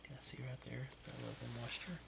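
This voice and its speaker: A man whispering casual ASMR craft chat in a hushed, unhurried way as he demonstrates his work. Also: mid-range voice, creaky. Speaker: crafter demonstrating wood burning. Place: indoors, carpeted room at home. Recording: noisy whispered recording.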